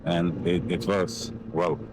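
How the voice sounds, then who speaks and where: A man's voice: deep voice, sing-song, takes it slowly, vocal fry. A young man from Montenegro with a Montenegrin accent, rambling and swearing casually as he rides a motorcycle, talking into a helmet mic. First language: Serbian. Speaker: motovlogger. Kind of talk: casual riding commentary. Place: riding a motorcycle in city traffic.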